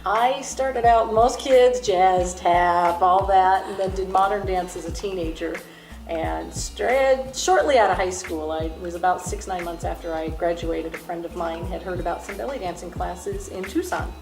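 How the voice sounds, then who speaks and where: A woman's voice: on the low side, moderate pace, vocal fry, over music. A middle-aged woman who teaches belly dance, answering interview questions in a chatty, self-deprecating way and laughing often.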